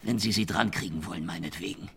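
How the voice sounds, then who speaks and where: A very deep, creaky male voice plays a wounded ex-convict in a radio crime play. He is stubborn and emphatic in his delivery. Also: fast talker, fairly bright tone, full character voice. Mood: weary, resigned. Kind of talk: German crime radio drama acting.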